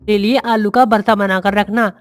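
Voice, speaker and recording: महिला द्वारा निभाया खाने का शौकीन कौवा पति, मध्यम आवाज़. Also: female voice, medium pitch, fairly even intonation, moderate pace, no echo, faint music behind, lightly acted. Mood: demanding. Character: male crow husband, food-loving, easygoing but entitled. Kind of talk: children's animated story acting.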